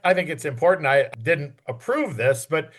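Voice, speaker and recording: A man interviewing a guest on a podcast in a conversational, encouraging and deliberate way. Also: fairly high pitch, animated.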